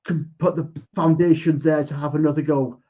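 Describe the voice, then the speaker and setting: A man's voice: fairly high pitch, steady tone, moderate pace, warm tone. A man hosting a casual football chat, agreeable and prone to brief interjections, in a slightly dull recording. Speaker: podcast host, interviewer.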